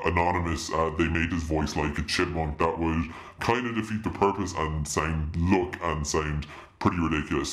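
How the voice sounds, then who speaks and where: Man's voice digitally dropped extremely deep. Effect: downward pitch shift with reverb, anonymised-witness voice. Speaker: YouTube tech presenter. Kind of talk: casual tutorial demonstration. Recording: echoey reverb on home-office recording.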